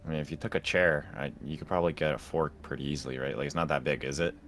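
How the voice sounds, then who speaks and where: A man's voice: a bass voice, fairly even intonation, racing, a bit bright. A man in a Minecraft roleplay playing an awkward, easygoing young guy who talks casually, with hesitant stammering. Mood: unsure. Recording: clear music behind the voice.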